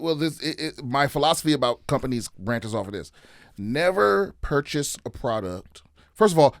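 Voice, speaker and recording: A man's voice: medium pitch, dry recording, clean studio sound. A man in casual podcast chat, ranting and swearing, with many restarts. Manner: ranting, profane, repeats and restarts. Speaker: comedian guest.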